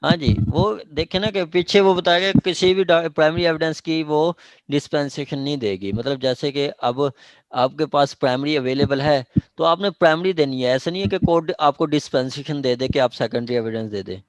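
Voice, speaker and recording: A man's voice: a little high, normal speed, dry recording, rough recording. A man with a Pakistani accent giving an informal online law lecture, explaining by repeating points and checking that listeners follow. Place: online meeting.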